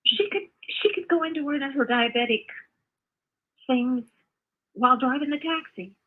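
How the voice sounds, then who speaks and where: An older woman calling in by phone to give her opinion, halting and earnest, often repeating herself. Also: high-pitched. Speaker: caller, mother of the host. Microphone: phone call. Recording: muffled, narrow phone call audio.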